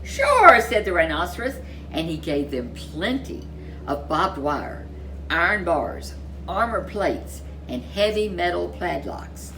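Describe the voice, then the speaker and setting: A woman reading a children's storybook aloud as a storyteller, with expressive, deliberate delivery and dramatic emphasis. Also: lowish voice, a little hiss or hum.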